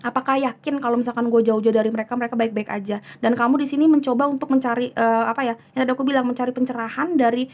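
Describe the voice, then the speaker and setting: A hoarse woman giving a chatty tarot reading, soothing and unhurried, often slipping into English words. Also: fairly high pitch, fairly even intonation, darkish tone. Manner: soothing, chatty, many fillers. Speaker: tarot reader.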